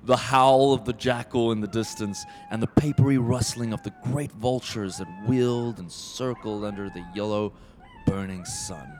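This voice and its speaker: A man playing the solemn narrator of a radio play, with measured, evocative delivery. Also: medium pitch, huge pitch swings, a little mellow, slight background noise. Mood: vivid, ominous. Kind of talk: scripted dramatic narration.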